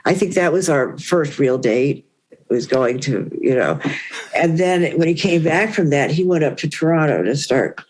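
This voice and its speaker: A woman's voice: low-pitched, expressive, moderate pace, a little hiss or hum. An older woman, a guest on a podcast, recalling the past in a rambling, conversational way. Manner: warm rambling recollection with many "you know"s. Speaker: interview guest. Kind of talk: reminiscing conversation.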